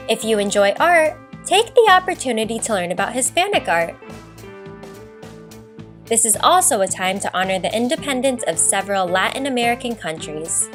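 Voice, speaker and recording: A woman giving a scripted informational voice-over in a lively, even-paced way. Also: a little high.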